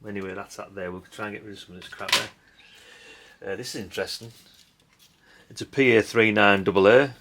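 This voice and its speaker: A middle-aged man rambles casually as he hosts a hobby video. His voice sits fairly low and has a heavy gravelly creak. He is dry, blunt and a bit sweary, over faint background noise and the clatter of handled parts. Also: fairly even intonation, fairly quick, pauses often, a bit bright. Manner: dry, blunt, mildly sweary, tag questions. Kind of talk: casual rambling commentary while sorting old electronics. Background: clicks and clatter of handled parts.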